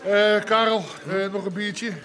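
An actor playing a blustering middle-aged underworld boss in a radio drama. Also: male voice, sits high, level tone, measured pace, over music. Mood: gruff, impatient. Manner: blustering, colloquial. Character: brash, boisterous Amsterdam underworld boss.

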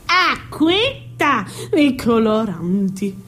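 A woman's voice: a little high, big pitch swings, extremely slow, a bit bright, heavily acted. A woman playing a chatty mourner in a comic sketch, trading indignant platitudes with theatrical, emphatic repetition. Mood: indignant lament.

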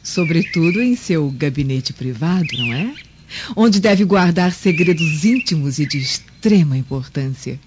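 A woman's voice: low-pitched, animated, unhurried. An actress playing a sly Roman noblewoman in a radio drama, formal and mock-polite. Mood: insinuating. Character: sly, insinuating Roman noblewoman, governor's sister-in-law. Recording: muffled lo-fi recording with clear background noise.

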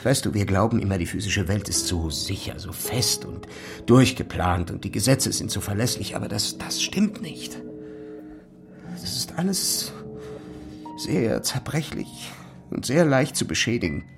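An actor playing an obsessed, paranoid physicist in a radio drama, speaking in an intense, halting, urgent manner. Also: male voice, on the low side, lively intonation, vocal fry. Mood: feverish wonder. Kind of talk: German radio drama acting. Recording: music under the voice. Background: music.

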